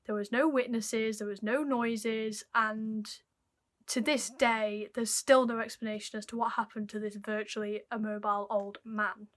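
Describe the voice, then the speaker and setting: A young woman hosting her channel, telling an old mystery case in a chatty way while her voice is croaky and fading. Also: a little high, little pitch movement, normal speed. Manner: chatty, apologetic, dwells on odd details. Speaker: YouTube host. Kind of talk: casual mystery storytelling to camera.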